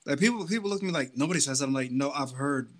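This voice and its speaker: A man's voice: mid-range voice, expressive, racing. A man in an easygoing podcast chat who wanders through his thoughts, full of "like" and "um." He has a plain mid-range voice. His sentences often stall and restart before he finds the point. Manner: rambling, hedging, trails off into fillers. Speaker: podcast co-host. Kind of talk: casual chat.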